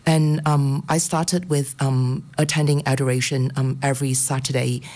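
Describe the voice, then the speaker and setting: Deep, mellow Singaporean-accented woman. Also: little pitch movement, normal speed. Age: middle-aged. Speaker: guest speaker. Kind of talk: personal faith testimony.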